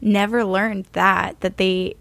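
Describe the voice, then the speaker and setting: Woman, podcast co-host, casual chat, gravelly fry, mid pitch. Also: level tone, takes it slowly, a bit bright. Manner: conversational, reacts and adds details.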